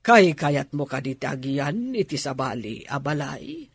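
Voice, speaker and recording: A man playing an older, insistent aunt in a radio drama, speaking in a measured, coaxing manner. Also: mid-range voice, big pitch swings, creaky, husky, no room sound, studio quality, full character voice. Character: older aunt, insistent and inviting toward her niece.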